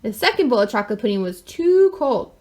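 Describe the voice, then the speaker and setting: A woman with a fairly high voice reads a picture book aloud to children. Her delivery is expressive, and she adds wry asides in a mock-innocent way. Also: brisk. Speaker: storyteller.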